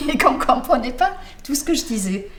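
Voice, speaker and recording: A woman's voice: sits high, very animated, a little slow, a bit bright. Woman writer, not a native speaker, interviewee, thinks aloud, many restarts, faint background noise. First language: not French. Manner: thoughtful, searching for words with many restarts. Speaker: novelist. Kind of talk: interview answers.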